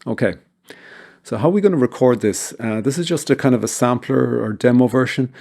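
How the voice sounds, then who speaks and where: A man narrating an informal software tutorial as its host, explaining things step by step. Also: mid-range voice, a little fast, gravelly.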